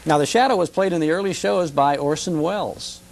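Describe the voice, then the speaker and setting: Enthusiastic man with a gravelly edge. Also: fairly high pitch, a little fast. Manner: enthusiastic, emphatic, repeats phrases for effect. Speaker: guest expert on old-time radio. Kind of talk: conversational reminiscing about radio history.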